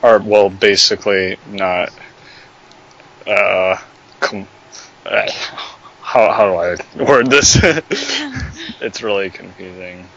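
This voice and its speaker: A man's voice: fairly low pitch, big pitch swings, slow pace, creaky, long pauses, fairly bright tone. A man in casual podcast chat who laughs often and searches for words, on a lo-fi recording. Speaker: podcast co-host, voice actor. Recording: dull lo-fi backup audio.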